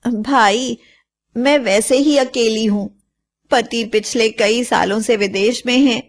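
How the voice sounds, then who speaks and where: A woman voicing a lonely, caring and anxious village mother, plaintive and expressive, in an animated moral story. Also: on the high side.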